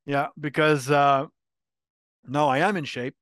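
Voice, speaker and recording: A middle-aged French Canadian man, a native French speaker, answering interview questions in a candid, animated way. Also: fairly high pitch, measured pace, no echo, studio quality. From Quebec, Canada. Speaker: guest, brand marketing head.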